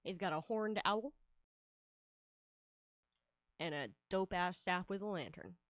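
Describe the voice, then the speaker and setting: Female artist streamer, congested and nasal from a cold, lowish voice, dry mic, chatty and casual. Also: animated, slow, a bit bright, no echo. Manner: chatty, laughs often, many fillers. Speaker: livestreaming artist. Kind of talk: casual streaming chat while drawing.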